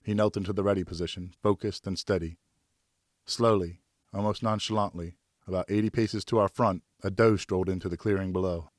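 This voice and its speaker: Low-pitched male audiobook narrator. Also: fairly even intonation, a little fast, no room sound, studio quality. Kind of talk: dramatic scripted narration.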